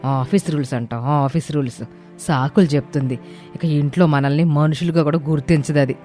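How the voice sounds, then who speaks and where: A woman's voice: low voice, fairly even intonation, fairly quick, warm tone, faint music behind, natural voice. A woman voicing a bitter, scornful older mother-in-law in a family moral story, grumbling and accusing. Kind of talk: animated moral story dialogue.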